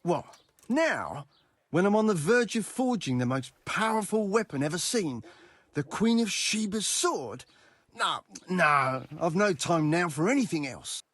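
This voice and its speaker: Medieval game swordsmith: proud, exclamatory, wrapped up in his craft, higher-set and animated delivery. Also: measured pace, few pauses, dry recording. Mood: flustered, proud. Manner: exclamatory, self-important. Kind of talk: scripted medieval video game dialogue.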